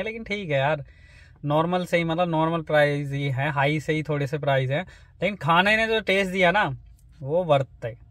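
A man's voice: a little high, a bit bright. A man vlogging a road trip from his car, chatting casually with his viewers. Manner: chatty, keeps saying "bhai" and "hai na". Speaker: travel vlogger. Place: inside a moving car on the road. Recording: noisy car interior.

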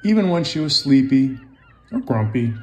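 A man's voice: medium pitch, sing-song, moderate pace, a little hiss or hum. A man in his fifties speaking casually and simply to children as an author and illustrator, reading aloud and guiding drawing. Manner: casual, simple wording, encouraging asides to young viewers. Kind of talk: children's picture-book read-aloud and drawing tutorial.

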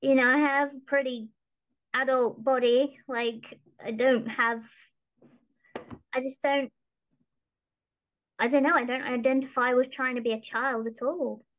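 A young woman with a high voice, chatting casually as a podcast guest. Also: fairly even intonation, measured pace, pauses often, a bit bright. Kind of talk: casual chat. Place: small room at home, video call.

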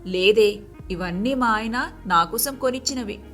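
A woman voicing a kind, generous wealthy young wife in an animated moral story, with a friendly, reassuring manner. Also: medium pitch, unhurried, soft background music, lightly acted.

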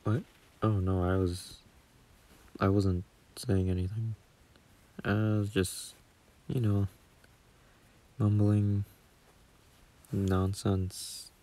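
A man playing a gentle, shy, doting young boyfriend in a sleep-aid audio roleplay, murmuring softly and hesitantly close to a phone mic. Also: fairly low pitch, little pitch movement, very slow, long pauses. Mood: bashful, gentle. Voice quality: husky, soft, breathy murmur.

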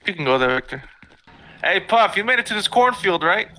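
A man's voice: high voice, very animated, brisk, bright, forceful tone, poor audio. A man bantering bluntly with friends while gaming, swearing and needling them over voice chat. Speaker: video game player.

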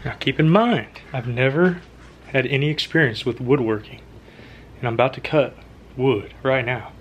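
A man's voice: medium pitch, moderate pace, muffled recording, slight background noise. A young man vlogging casually about his own truck build, chatty and self-deprecating, filmed on a handheld phone in his home garage. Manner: self-deprecating, chatty, talks to camera while working. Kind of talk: casual DIY vlog.

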